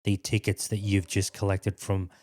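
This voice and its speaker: A man's voice: sits low, monotone, moderate pace, darkish tone. Informal Australian male podcast host. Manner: informal, bantering. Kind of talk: casual chat, interviewing.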